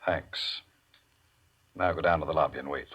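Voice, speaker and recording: An actor playing a cold, domineering big-time gambler with a husky voice, mocking and commanding in 1940s radio crime drama style. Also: male voice, a bass voice, very noisy. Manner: commanding, mocking, unhurried menace. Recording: dull 1940s radio recording.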